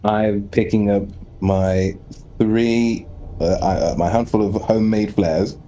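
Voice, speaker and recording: A man chatting casually as a player in a tabletop game, speaking haltingly and repeating words. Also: fairly low pitch, fairly even intonation, dark tone, soft background music. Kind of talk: casual chat.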